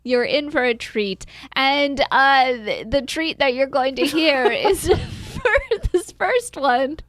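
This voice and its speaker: Young female comedy podcast host, high-pitched and fired up, music playing behind. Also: slow, no echo. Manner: energetic, motivational ranting. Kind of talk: casual comedic chat.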